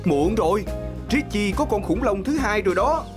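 A woman's voice: mid-range voice, expressive, normal speed, lightly acted. A woman voicing a cheerful, easygoing boy sidekick in a children's dinosaur cartoon, with a friendly, teasing manner. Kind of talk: animated children's cartoon dub. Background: music bed.

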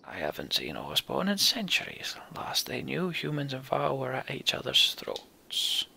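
Man playing a gravelly old giant scribe. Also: mid-range voice, huge pitch swings, measured pace, pushed and bright, slight background noise, full character voice. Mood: dry, explaining. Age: older. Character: wry old male horned giant, learned scribe.

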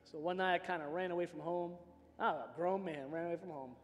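A man's voice: fairly high pitch, a little fast, soft background music. A middle-aged American man preaching a personal, emphatic sermon with dramatic dynamics, as a pastor on a large church stage. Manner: emphatic, dramatic swings from hushed to shouting. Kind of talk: impassioned sermon with personal storytelling. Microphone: worn microphone, hands free. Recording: reverberant large hall sound system.

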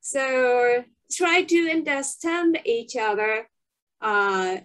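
Japanese-accented woman, slow halting English, fairly high voice, lots of fillers. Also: lively intonation, fairly bright tone. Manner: hesitant, many fillers, searching for English words. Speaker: webinar panelist. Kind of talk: webinar video call conversation. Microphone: video call laptop mic.

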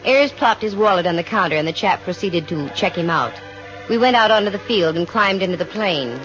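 A woman's voice: fairly low pitch, lively intonation, a little fast, a bit bright. An American actress playing a wry, self-assured female private investigator in a 1950s radio drama, with dry wisecracking delivery. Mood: wry, breezy. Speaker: lead actress and narrator. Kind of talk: 1950s radio detective drama acting, with first-person narration. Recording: muffled old radio recording, music behind.

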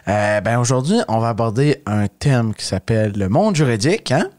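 A man's voice: mid-range voice, lively intonation, moderate pace, darkish tone. A young man with a Quebec French accent hosts a casual interview on a close studio mic. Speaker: youth radio show host. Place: small indoor radio studio. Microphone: close studio mic on boom arm.

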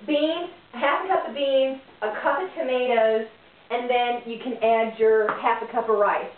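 Woman in her forties, chatty home-cook host, slightly high voice, far from the camera in a boomy kitchen, thin phone-like sound. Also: normal speed. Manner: chatty, rambling, self-correcting; moves around the kitchen while talking. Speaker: home cooking vlogger. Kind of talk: casual cooking demo. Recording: echoey, distant, tinny phone-like sound.

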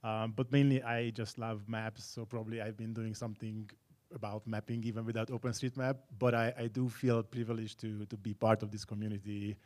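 Casting note: male academic from Hungary, low voice, soft and hesitant. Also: little pitch movement. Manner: gentle, hesitant, with "uh" pauses. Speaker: academic, board member. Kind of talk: conference panel introduction. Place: conference hall on stage.

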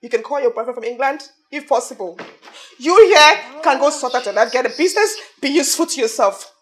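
A woman's voice: high-pitched, a little fast, husky. An actress with a Nigerian accent playing a self-pitying, domineering young businesswoman in a film drama, with a dramatic, repetitive, pleading delivery. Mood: stern. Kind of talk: emotional film drama acting.

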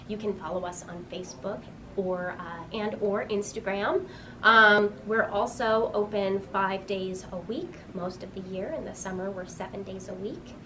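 Earnest young woman, off-mic. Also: medium pitch. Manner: earnest and informative, with frequent "um" fillers. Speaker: museum director. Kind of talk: informal on-camera explanation to a visitor. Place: small museum room indoors. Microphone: camera a few feet away. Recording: distant mic with clear room noise.

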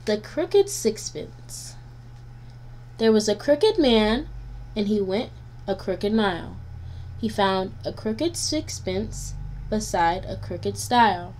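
Slow-reading woman with noisy hum behind. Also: mid-range voice, sing-song, a little mellow. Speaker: guest storybook reader. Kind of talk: nursery rhymes read aloud for children. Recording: noisy home recording with hum.

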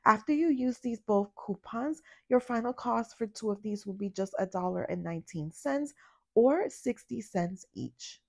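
A young woman recovering from a cold talks through a grocery couponing haul in a relaxed voiceover. Her voice is raspy with a gravelly fry. The recording is dry and close. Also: a little high, moderate pace, no echo. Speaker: couponing vlogger. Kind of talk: casual shopping voiceover. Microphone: voiceover mic.

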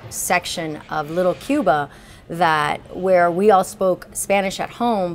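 A woman in her forties from Miami, a restaurant owner speaking in a seated outdoor interview, relaxed and full of filler pauses. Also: sits low. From Miami, Florida. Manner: relaxed, many filler uhs, seated on a bench. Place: outdoor porch of a small building.

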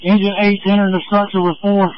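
A man's voice: high-pitched, little pitch movement, fairly quick, no room sound, dull audio. A man giving short firefighter reports over a two-way radio.

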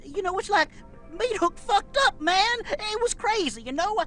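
A man's voice: very high voice, expressive, normal speed, sharp tone, faint music behind, full character voice. An actor playing a twitchy, stammering biker gang underling full of excuses, in a video game. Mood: nervous pleading. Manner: agitated, stammering, pleading. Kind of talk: video game cutscene acting.

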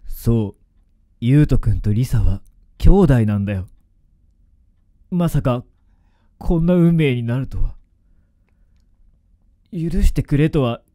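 A man's voice: mid-range voice, warm tone, dry recording, clean studio sound. An actor playing a grave, apologetic middle-aged father full of remorse in a radio drama. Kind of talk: Japanese radio drama acting.

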